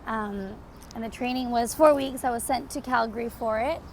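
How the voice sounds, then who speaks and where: Young Canadian-raised woman, higher voice, rambling vlog chat, phone mic with street noise behind. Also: moderate pace. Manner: chatty, rambling, filler-heavy. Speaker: vlogger. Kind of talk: casual life-update vlog. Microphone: phone camera.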